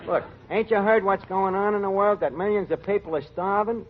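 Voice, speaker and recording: A man's voice: high-pitched, fairly even intonation, brisk. An actor playing a wisecracking tavern manager, sarcastically mangling his grammar in a 1940s radio comedy. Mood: exasperated. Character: fast-talking, malapropism-prone wisecracking male tavern manager. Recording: dull vintage radio recording.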